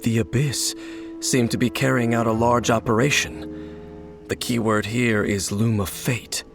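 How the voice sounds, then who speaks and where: A grave, gravelly wanderer. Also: male voice, mid-range voice, flat delivery, over music. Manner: solemn, measured, matter-of-fact. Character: grave, mysterious adult male wanderer with ancient knowledge. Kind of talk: video game cutscene voice acting.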